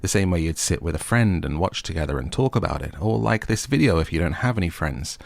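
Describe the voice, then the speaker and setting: Low-voiced man giving a wry recap. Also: expressive, fast, vocal fry, no echo. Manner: playful, tongue-in-cheek. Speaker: host of a recap and review channel. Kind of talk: scripted recap narration with wry jokey asides.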